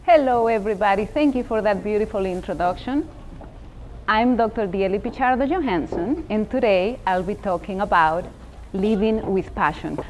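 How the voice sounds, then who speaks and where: A woman's voice: medium pitch, lively intonation, normal speed, darkish tone, slight background noise, rough recording. A middle-aged woman from the Dominican Republic with a Dominican Spanish accent, giving a humorous, self-deprecating motivational talk as keynote speaker.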